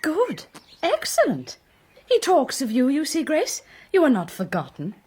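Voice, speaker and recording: An actress playing a fond, wistful older gentlewoman in a period radio drama, husky and affectionate. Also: high voice, wildly swooping pitch, a little slow, full character voice.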